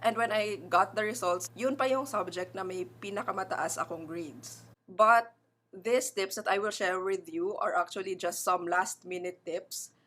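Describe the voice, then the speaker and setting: A woman's voice: mid-range voice, normal speed, a bit bright. A young adult woman from the Philippines with an Ilonggo accent, explaining board exam strategies in a casual vlog and mixing English with Tagalog. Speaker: librarian giving exam tips.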